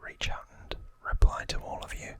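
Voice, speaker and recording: A man hosting an ASMR video, narrating in a breathy, unvoiced whisper with a gentle, hushed delivery. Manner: slow, gentle, hushed delivery with drawn-out words. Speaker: host of an ASMR channel.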